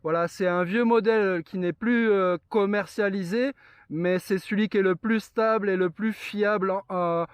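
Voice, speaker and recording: A man's voice: high voice, steady tone, moderate pace, clean tone, dry recording. A man chatting casually and explaining things as a radio operator. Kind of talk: casual radio contact chat.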